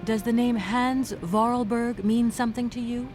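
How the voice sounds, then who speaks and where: A woman's voice: on the high side, steady tone, normal speed, over music, lightly acted. An actress voicing a polite, inquisitive adventure game heroine, courteous and questioning in her video game dialogue. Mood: polite, curious.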